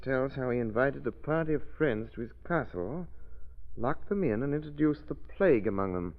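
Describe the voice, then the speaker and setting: An actor playing an inquisitive, urbane man investigating a mystery in a 1930s radio drama, deliberate and intent as he explains. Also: medium pitch, animated, close to an everyday voice. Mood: grimly intrigued. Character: inquisitive, urbane amateur sleuth piecing together a sinister plot. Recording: muffled 1930s radio recording.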